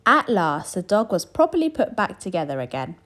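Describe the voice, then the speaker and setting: A woman reading a children's story aloud in an animated, expressive way with dramatic emphasis. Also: fairly low pitch, a little fast. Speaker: storybook reader.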